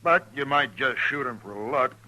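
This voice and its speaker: An actor playing a grumbling, suspicious stagecoach driver in an old-time radio western, blunt, wry and folksy. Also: male voice, medium pitch, animated, moderate pace, sharp tone, low-quality recording.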